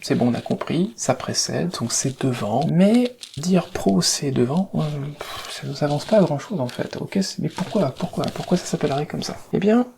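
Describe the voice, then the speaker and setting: A man's voice: a little high, lively intonation, moderate pace, vocal fry, hardly any pauses, a little mellow, a little hiss or hum. A man from Paris with a Parisian French accent, explaining things informally in a conversational, digressive way and stressing key words. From Paris, France. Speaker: video host explaining etymology.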